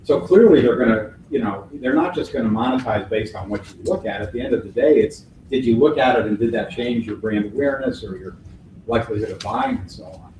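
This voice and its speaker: A man's voice: mid-range voice, fairly quick, darkish tone. Man, conference speaker taking questions, reverberant hall, heard from a distance. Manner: thoughtful, restarts phrases, folksy sayings. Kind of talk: answering audience questions. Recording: echoey hall from far off, faint noise.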